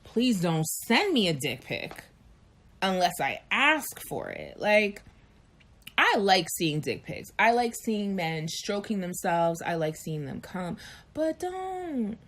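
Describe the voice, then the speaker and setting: Animated, frank woman in her thirties. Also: medium pitch, slow, slight background noise. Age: 30s. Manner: frank, animated storytelling with acted-out voices. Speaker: chat show co-host. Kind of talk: candid casual chat.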